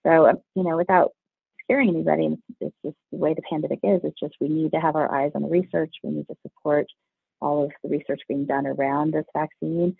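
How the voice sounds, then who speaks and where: A hoarse man speaks over a tinny phone line, his voice gravelly and husky. He explains things earnestly as a pediatrician, pitched a little high for a man, with a dry sound. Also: fairly even intonation, fairly quick, no room sound. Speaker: pediatrician guest. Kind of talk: interview answers.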